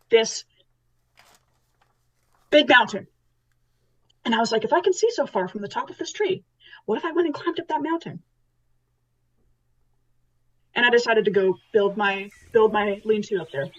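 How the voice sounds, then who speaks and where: A woman as a husky, rambling island survivor. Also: a little high, moderate pace, pauses often, a bit bright, dull audio. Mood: reminiscing. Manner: repetitive, rambling storyteller. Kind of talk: in-character tabletop roleplay.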